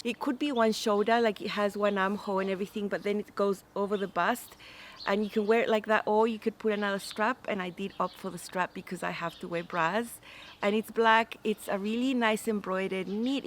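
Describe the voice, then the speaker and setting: Woman, forties, mid-range pitch, enthusiastic, informal vlog chat, noticeable background ambience. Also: a little hiss or hum. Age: 40s. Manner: chatty, enthusiastic. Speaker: sewing vlogger and host. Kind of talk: casual chat to camera.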